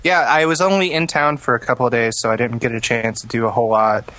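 Medium-pitched man chatting dryly. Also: fairly even intonation, quick pace, sharp tone, no room sound, rough recording. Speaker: podcast co-host. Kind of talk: casual chat and storytelling.

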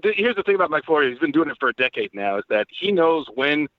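A man speaks quickly over a muffled, phone-like connection with very little room sound. He banters casually about sports as a guest journalist, mixing crude jokes with mock-serious analysis. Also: fairly high pitch, sing-song, dry recording. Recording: muffled phone-like line, dry.

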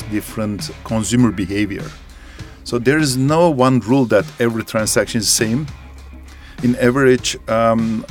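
Male executive interviewee, deep and gravelly, earnest and halting, soft music bed. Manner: earnest, halting non-native phrasing with fillers. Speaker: company executive, interview guest. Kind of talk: interview answers.

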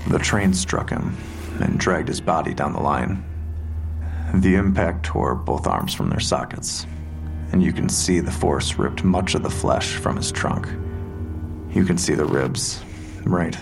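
An actor playing a methodical police detective in an audio drama, questioning in a deliberate, matter-of-fact way. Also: male voice, extremely low pitch, animated, gravelly, over music, exaggerated voice. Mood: grave, pressing. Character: methodical, probing male police detective, quietly pressing.

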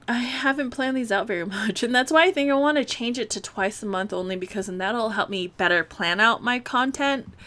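A woman's voice: on the high side, fairly bright tone. A woman in her late twenties hosting a casual solo podcast, rambling with lots of fillers, swearing freely and laughing often. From United States. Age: late 20s. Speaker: podcast host.

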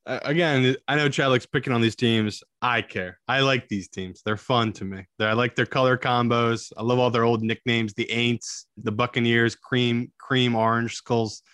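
A man with an average-pitched voice chats casually as co-host of a sports podcast. The recording is close and dry. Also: steady tone, moderate pace, hardly any pauses, a bit bright, smooth, no room sound.

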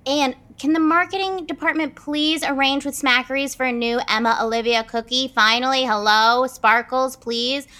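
Self-absorbed stage diva: sharp bright voice, imperious, theatrical, demanding. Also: female voice, sits high, steady tone, moderate pace, hardly any pauses. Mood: exasperated. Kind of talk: comedic audio drama acting.

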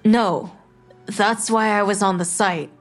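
A woman's voice: medium pitch, steady tone, slow pace, faint music behind, close to an everyday voice. A woman playing an anxious, grieving young son in an audio drama, reluctant and guarded.

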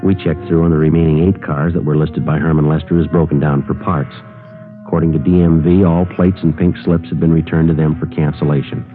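An American man playing a terse, methodical police sergeant in a 1950s radio drama, narrating and questioning in a clipped, deadpan way. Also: rumbling low, a little fast, phone-quality sound. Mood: businesslike. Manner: deadpan, matter-of-fact. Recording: old band-limited radio broadcast, music behind.

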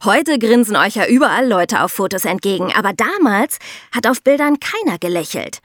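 A woman's voice: mid-range voice, very animated, normal speed, fairly bright tone, no echo, studio quality. A woman co-hosting an educational podcast for children, explaining things conversationally and addressing listeners directly. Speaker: podcast co-host explaining history.

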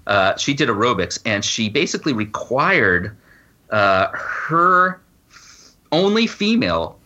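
A man speaking as a guest author in a conversational interview, informal and halting, often breaking off to rephrase. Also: mid-range voice, big pitch swings, bright, forceful tone, dull audio. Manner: halting, informal, frequent self-interruptions and "you know". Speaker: guest author and law professor.

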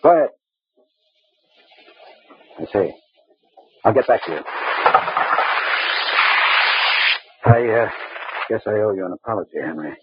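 An actor playing a brusque, skeptical boss with curt, formal authority in an old-time radio drama. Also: male voice, on the low side, animated, normal speed, highs cut off, heavy background noise, close to an everyday voice. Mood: sobered. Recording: band-limited vintage radio recording.

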